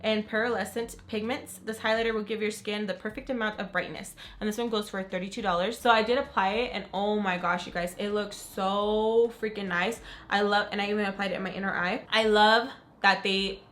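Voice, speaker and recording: A young woman who is sick and raspy, chatting excitedly in a casual beauty unboxing. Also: mid-range voice, brisk. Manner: gushing, upbeat, says "freaking" a lot. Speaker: beauty vlogger. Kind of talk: casual unboxing chat to camera.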